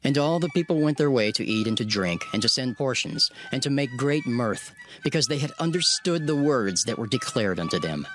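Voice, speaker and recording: A man's voice: medium pitch, expressive, a bit bright. Formal male scripture narrator over music. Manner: formal, measured storytelling.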